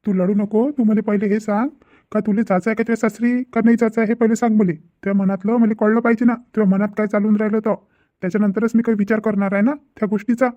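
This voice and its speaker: A man's voice: sits high, flat delivery, fast, no room sound, phone-quality sound, natural voice. A man voicing a stern, exasperated middle-aged father in a cartoon family drama, insistent and repetitive, with a Vidarbha Marathi accent. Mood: stern, questioning.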